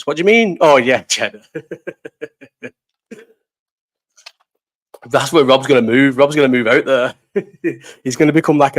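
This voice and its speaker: A man's voice: medium pitch, fairly bright tone. A young man hosting a casual live chat, reading out comments, rambling, swearing and laughing often. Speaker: livestream host.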